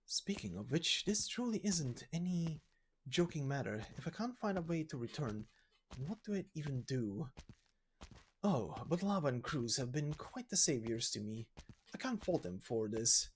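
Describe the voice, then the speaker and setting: A man voicing a polite, old-fashioned, fretful but genial gentleman traveler from a game story, speaking in formal, theatrical phrases. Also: on the high side, very animated, normal speed, a little hiss or hum. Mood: brooding. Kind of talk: video game story voice acting.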